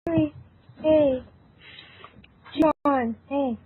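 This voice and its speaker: A woman's voice: high-pitched, drawn-out words, no echo. A woman narrating a fan-fiction story in a group reading, mixing scripted reading with casual chat. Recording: tinny narrowband voice call, faint noise, clicks.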